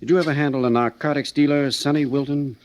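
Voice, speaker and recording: An actor playing a businesslike, matter-of-fact federal narcotics agent in a 1940s radio crime drama. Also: male voice, fairly high pitch, steady tone, fast, darkish tone, natural voice.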